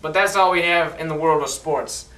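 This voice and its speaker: A man's voice: fairly high pitch, moderate pace, fairly bright tone, close to an everyday voice. A man playing a genial, dapper 1920s newsman who presents in period slang with a showman's manner. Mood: upbeat. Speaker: news anchor. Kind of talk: scripted 1920s news broadcast. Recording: echoey room, distant mic.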